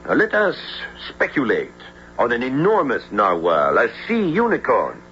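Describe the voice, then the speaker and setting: An animated, bright-voiced French professor. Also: male voice, mid-range voice, moderate pace. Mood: musing, sceptical. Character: curious, excitable French marine biology professor. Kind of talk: radio drama acting. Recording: dull, noisy vintage-sounding radio drama.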